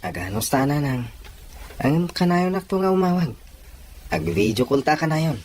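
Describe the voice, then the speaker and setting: A man's voice: a little high, big pitch swings, moderate pace, a little mellow. A man playing an earnest young Ilocano seafarer and devoted son in an audio drama, with an Ilocano accent. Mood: reassuring. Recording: noisy ambience with crowd murmur.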